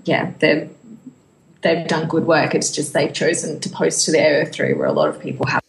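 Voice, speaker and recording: A woman co-hosting a casual podcast discussion, speaking informally and a little haltingly as she thinks aloud. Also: low voice, level tone, gravelly, poor audio. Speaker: podcast co-host.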